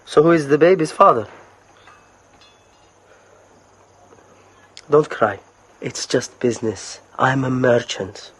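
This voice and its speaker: An actor playing a callous slave merchant in an audio drama, coaxing and polite with menace underneath. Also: male voice, medium pitch, lively intonation, moderate pace, slightly rough. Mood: businesslike, callous. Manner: casual, coaxing politeness laced with threat.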